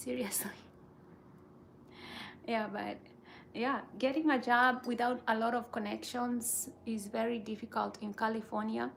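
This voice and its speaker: A woman with a soft voice, chatting casually in a rambling way that circles back over phrases. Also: fairly high pitch, vocal fry, a little hiss or hum. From Kenya. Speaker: vlogger chatting with viewers. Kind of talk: casual chat.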